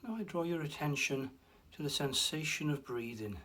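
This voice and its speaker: A man guiding a relaxation meditation, speaking slowly and softly with long pauses and a breathy hush at times. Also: on the high side. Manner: slow, soft and soothing, with long pauses. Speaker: meditation guide. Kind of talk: guided meditation.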